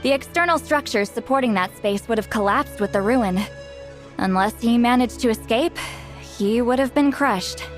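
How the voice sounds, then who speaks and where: A woman's voice: on the high side, normal speed, faint music behind, close to an everyday voice. A woman playing a self-assured young shaman in a video game, matter-of-fact and dryly wry, in a clean studio recording. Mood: cool, dry.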